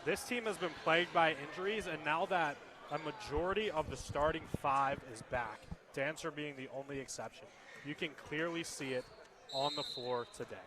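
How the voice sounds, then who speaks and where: A man giving measured, analytical color commentary on a live radio basketball broadcast. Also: fairly high pitch. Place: indoor basketball game. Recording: crowd noise at a basketball game. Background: crowd and bouncing ball.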